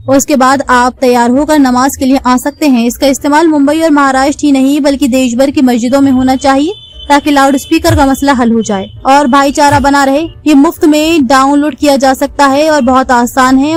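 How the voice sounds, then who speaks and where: Female news narrator, high register, scripted read over faint background music.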